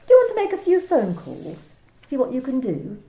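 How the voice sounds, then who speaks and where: An actress playing a smooth, coolly polite corporate manager who talks in bland, bureaucratic phrases. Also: female voice, high voice, wildly swooping pitch, moderate pace, warm tone, echoey room, exaggerated voice. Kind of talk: amateur radio play reading.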